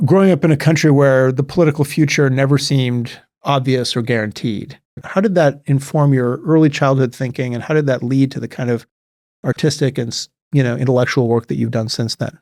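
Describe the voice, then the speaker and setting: A man interviewing a novelist on a podcast, asking long, measured questions with some hesitation. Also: mid-range voice, a little mellow, dry recording, studio quality.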